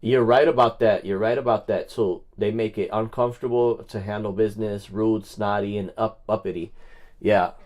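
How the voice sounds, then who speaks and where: A man with a low, very mellow, relaxed voice hosts a casual livestream. He talks in a blunt, colloquial way, often circling back to repeat a phrase as he chats or handles a call. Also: normal speed. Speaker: livestream host making records-request phone calls.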